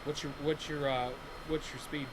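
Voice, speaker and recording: A man hosting a livestream, chatting casually and excitedly, often restarting his sentences. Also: on the high side, normal speed, slight background noise, low-quality recording. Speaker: livestream host. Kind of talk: casual gaming chat.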